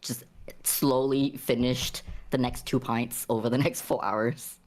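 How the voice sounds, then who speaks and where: Very low-voiced woman, halting narration. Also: fairly even intonation, a little mellow. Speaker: tabletop RPG player. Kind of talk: casual improvised roleplay narration.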